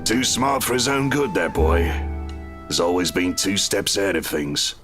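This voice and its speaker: Man playing an easygoing, low-voiced teasing barman. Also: expressive, normal speed. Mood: wistful teasing. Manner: chuckling, familiar, easygoing. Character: amused older male barman, teasing and fatherly. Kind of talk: visual novel dialogue read aloud. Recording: music playing behind the voice.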